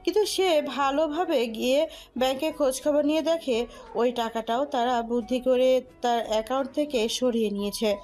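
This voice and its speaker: A woman's voice: sits high, a little fast, darkish tone. A woman narrating a scripted dramatic story over music.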